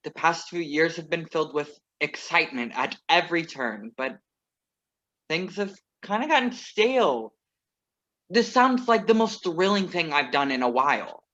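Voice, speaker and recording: A man's voice: fairly high pitch, lively intonation, moderate pace, a bit bright. A young man reading the part of a famous, restless young singer in a virtual staged reading, with a wry, teasing delivery. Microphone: video call. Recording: muffled lo-fi video call audio.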